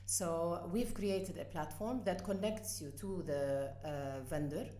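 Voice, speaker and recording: A middle-aged woman from Lebanon, a company co-founder, talking casually in an interview with many pauses and fillers. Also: lowish voice, warm tone, slight background noise. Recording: echoey room with faint ambience.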